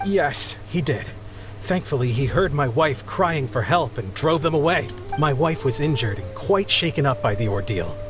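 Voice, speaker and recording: Video game sea captain , male actor: flustered, embarrassed, humble, lively swooping delivery, music underneath. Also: medium pitch, moderate pace, close to an everyday voice. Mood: sheepish. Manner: flustered, hesitant, apologetic. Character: embarrassed, grateful middle-aged married sea captain. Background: background music.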